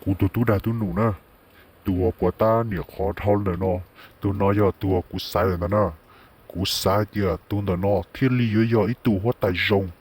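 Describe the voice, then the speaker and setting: A man voicing a husky male character in a comic cartoon story. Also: lowish voice, fairly even intonation, brisk, darkish tone. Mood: talkative. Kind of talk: cartoon voice acting.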